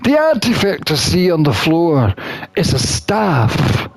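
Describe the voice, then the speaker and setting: A gamer reading a visual novel aloud as expressive scripted narration, with theatrical, deliberate phrasing. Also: medium pitch, slightly rough.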